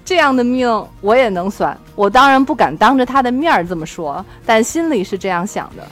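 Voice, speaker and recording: High, very animated woman host. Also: fairly quick, soft background music. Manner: giggly, animated. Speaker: podcast host. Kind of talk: casual chat and playful story narration.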